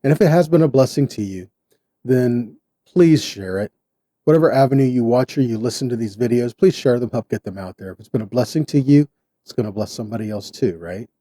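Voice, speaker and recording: Man, very dark warm tone, dry close sound, earnest Bible teacher, deliberate phrasing. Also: mid-range voice, no echo. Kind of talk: sermon-style Bible teaching.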